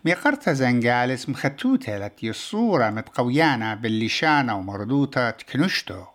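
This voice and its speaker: Male presenter for a scripted radio feature, fast-paced, mid-pitched delivery. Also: sing-song, fairly bright tone.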